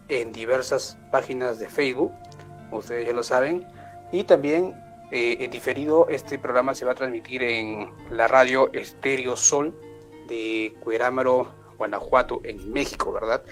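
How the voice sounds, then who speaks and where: Chatty peruvian man hosting with soft music. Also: mid-range voice. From Peru. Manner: warm welcoming with frequent filler "eh" and "este". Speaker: host. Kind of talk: live online show hosting. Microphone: video call.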